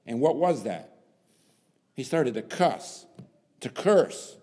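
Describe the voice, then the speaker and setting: A man preaching a sermon, dramatic, swinging from hushed lines to loud emphasis and asking rhetorical questions. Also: medium pitch, sing-song, slow. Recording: long hall reverb.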